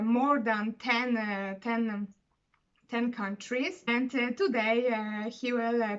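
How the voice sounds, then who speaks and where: A woman from Poland, hosting an online interview, speaking hesitantly with many fillers and restarts. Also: fairly high pitch. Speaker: interviewer and channel host. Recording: dull lo-fi video call audio.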